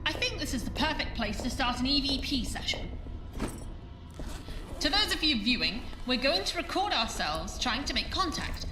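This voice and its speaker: A woman's voice: high-pitched, sing-song, moderate pace, noisy background. A woman playing a bold, exasperated paranormal investigator in an audio drama, emphatic and outspoken. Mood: presenting, challenging. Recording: echoey room, distant mic, faint music.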